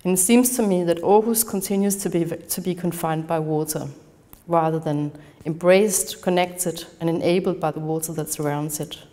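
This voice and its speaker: Low, mellow woman with a Danish accent. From Denmark. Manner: measured, partly read from a prepared text. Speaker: conference speaker. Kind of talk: academic lecture. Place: large darkened lecture hall.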